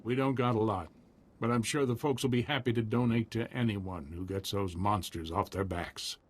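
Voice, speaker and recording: A man voicing a humble, cautious frontier militia leader in a video game, husky and folksy. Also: fairly low pitch, steady tone, moderate pace, no room sound. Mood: relieved, grateful.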